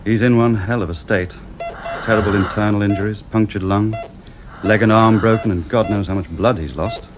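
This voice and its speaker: An actor playing a blunt, busy hospital doctor in a radio drama, brisk and matter-of-fact. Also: male voice, lowish voice, steady tone, dull audio, background noise, lightly acted. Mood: grim, brisk.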